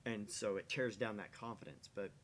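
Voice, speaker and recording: A man hosting a livestream, chatting casually and earnestly into a microphone. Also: normal speed. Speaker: livestream host of a gaming channel. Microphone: microphone on a stream setup. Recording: noisy mic with low rumble.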